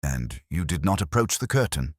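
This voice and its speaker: A man voicing a coolly analytical, self-assured detective in a storybook mystery, speaking precisely and deliberately. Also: very deep voice, sing-song, no room sound, studio quality, exaggerated voice. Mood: probing, restrained.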